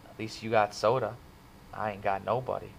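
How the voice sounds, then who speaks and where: A man voices a gentle, downcast teenage boy in an audiobook. He speaks softly and with a sigh, in a plain folksy way, with a gravelly grain to the voice. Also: fairly low pitch, fairly even intonation, brisk, a little hiss or hum, rough recording. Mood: sad, resigned. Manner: soft, sighing, folksy. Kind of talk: dramatic audiobook character reading.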